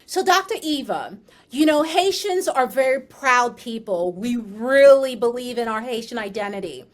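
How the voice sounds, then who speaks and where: A woman host born in the United States leads a podcast interview. She speaks with a slightly higher voice, affirming her guest and asking leading questions with pride. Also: lively intonation, moderate pace. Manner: affirming, proud, asks warm leading questions.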